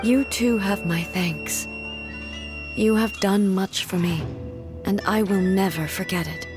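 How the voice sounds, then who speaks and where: A woman's voice: medium pitch, takes it slowly, music in the background, close to an everyday voice. A woman playing a reserved, earnest immortal ruler in a video game, speaking formally and with restraint. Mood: grateful. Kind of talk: English video game voice acting.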